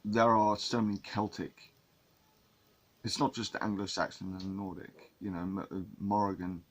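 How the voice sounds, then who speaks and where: A man from England with a low, husky voice talks casually to camera. He rambles, restarting his sentences and repeating words as he goes. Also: little pitch movement, normal speed. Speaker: vlogger.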